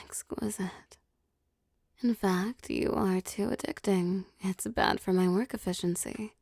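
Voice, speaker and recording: A woman playing a possessive, doting, wealthy vampire boss in intimate roleplay audio, soft and teasing, often slipping into whispers. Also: medium pitch, fairly even intonation, vocal fry, no echo. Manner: slow, teasing, pampering, softly commanding.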